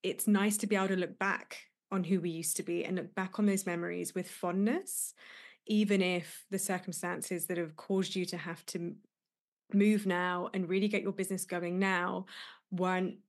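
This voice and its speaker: A woman hosts a podcast, interviewing her guest in a slightly low voice. She reflects at length and speaks in an encouraging, supportive way. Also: steady tone, normal speed. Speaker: podcast host, coach.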